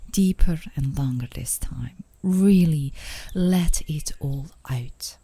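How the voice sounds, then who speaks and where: Breathy, slow-talking coaxing girlfriend, played by a woman. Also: low voice, dry recording, heavily acted. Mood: soothing, encouraging. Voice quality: soft, breathy, often whispering. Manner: soothing, playfully coaxing, with small chuckles. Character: gently coaxing, teasing, affectionate girlfriend on a park date. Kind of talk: intimate ASMR girlfriend roleplay audio.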